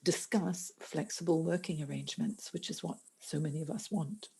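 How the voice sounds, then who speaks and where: A middle-aged woman speaks as a careers coach on a podcast. Her voice sits low and mellow, with a slightly husky grain. She talks earnestly and often restarts her sentences. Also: moderate pace. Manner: earnest, many restarts and fillers. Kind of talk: conversational podcast interview.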